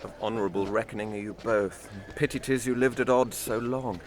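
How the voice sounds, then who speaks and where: An actor playing a polite, eager noble suitor in a Shakespearean audio drama, speaking formal verse. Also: male voice, fairly low pitch, steady tone, a little fast, slight background noise, natural voice. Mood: courteous, pressing.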